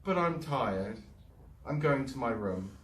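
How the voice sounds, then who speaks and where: Weary, glum schoolboy read by a man, quiet and slow. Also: mid-range voice, expressive, slight background noise. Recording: roomy echo.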